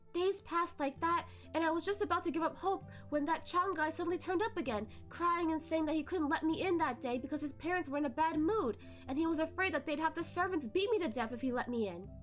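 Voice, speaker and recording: A woman's voice: very high voice, voice pushed up. A woman voicing a bitter, vengeful male ghost, a former courtesan, in a hateful, mocking, dramatic storybook read-aloud. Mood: mocking, furious.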